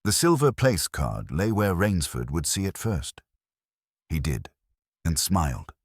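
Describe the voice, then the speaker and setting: A man's voice: low-pitched, big pitch swings, unhurried, no echo, clean studio sound. A man reading scripted first-person noir mystery narration in a wry manner.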